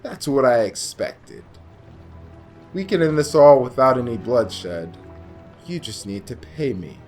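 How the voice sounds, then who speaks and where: A man's voice: medium pitch, lively intonation, a little slow, a little mellow, close to an everyday voice. A man playing a masked mob boss in a radio drama, mock-genteel and taunting as he threatens. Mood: smug menace. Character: muscular masked male mob boss, smugly menacing. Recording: music behind.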